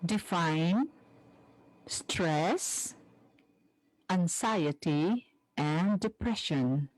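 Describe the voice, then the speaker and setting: Female radio teacher, Filipino accent, unhurried and deliberate instructional delivery, dry sound. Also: lowish voice, expressive, darkish tone, dry recording.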